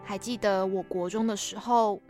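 A woman's voice: medium pitch, fairly even intonation, normal speed, darkish tone, natural voice. A woman playing a weary eighteen-year-old girl giving an inner monologue in a radio drama. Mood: weary, hurt. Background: music.

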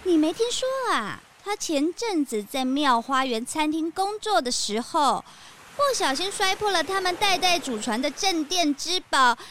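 A woman's voice: high voice, animated, moderate pace, fairly bright tone, slight background noise. A woman playing a sassy, gossipy suspect, scornful and emphatic, in a children's detective radio drama. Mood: gossipy, indignant.

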